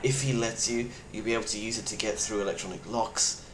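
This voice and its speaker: A man playing a grim, weary plague survivor, speaking gravely and bluntly in a halting video-diary monologue. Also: lowish voice, fairly even intonation, lightly acted. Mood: earnest, advising. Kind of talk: in-character monologue, found-footage video diary. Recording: roomy echo with clear background noise.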